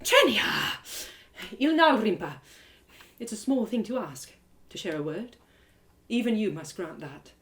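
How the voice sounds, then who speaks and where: A woman's voice: mid-range voice, sing-song, unhurried, pauses often, a bit bright. Woman playing an urgent, earnest young heroine. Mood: insistent. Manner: urgent, beseeching.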